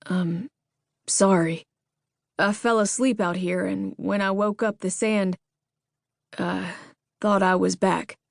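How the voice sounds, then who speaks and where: A woman reading an audiobook, voicing a tall, dazed male ex-army cowboy with a Southern drawl who speaks slowly and haltingly. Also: medium pitch, fairly even intonation, gravelly, dry recording, studio quality. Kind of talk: audiobook character voice.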